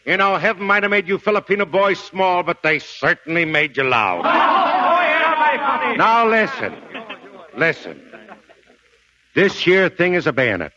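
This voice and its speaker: An actor playing a gruff, blustering middle-aged American Marine sergeant in a 1940s radio drama, with folksy, emphatic delivery. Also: male voice, high voice, expressive, measured pace, long pauses, crowd noise. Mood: barking, exasperated. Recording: dull 1940s radio broadcast recording.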